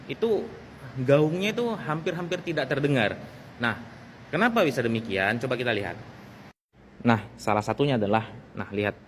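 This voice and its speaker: A man talks in a mid-range voice, explaining things casually like a video host. He often repeats his phrases to drive a point home. There is some background noise around his voice. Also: medium pitch. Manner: repeats phrases for emphasis, conversational. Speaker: sound technician and video host. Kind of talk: casual explanatory vlog talk.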